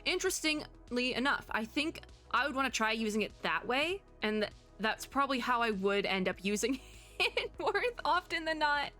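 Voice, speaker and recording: A woman streamer giving casual, chatty reaction commentary on a game, rambling and expressive in an excitable way. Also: a little high, moderate pace, soft background music. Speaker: streamer, VTuber.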